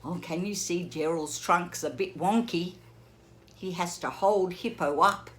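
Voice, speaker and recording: A woman teacher reading a picture book aloud to children, gently pausing to ask the listener questions. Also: on the low side, slight background noise. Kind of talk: children's read-aloud lesson.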